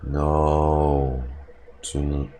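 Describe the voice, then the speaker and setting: A man doing casual live commentary on a game, halting, deadpan and often swearing. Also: a bass voice, background noise. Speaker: streamer reacting to a game. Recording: game music behind. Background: video game music and dialogue.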